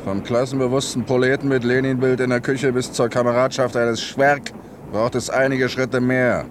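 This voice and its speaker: A man's voice: medium pitch, little pitch movement, normal speed, big-room sound, background noise, natural voice. A man playing a hardened ex-lieutenant and militia fighter in a radio drama, speaking curtly. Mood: curt, defiant.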